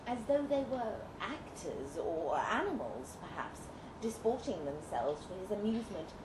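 A woman reading a dramatic first-person story aloud as scripted narration. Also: medium pitch. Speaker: story reader. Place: indoor live literary event. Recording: roomy, noisy live venue recording.

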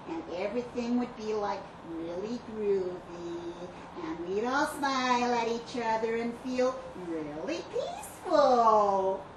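Brief: actress as dreamy, naive young cult dropout, unhurried slacker drawl, vague and easygoing, echoey live room. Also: female voice, medium pitch. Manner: vague, filler-heavy slacker talk. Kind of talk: dramatic monologue read at a live story event. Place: live reading in a pub function room. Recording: echoey room, distant mic, noisy ambience.

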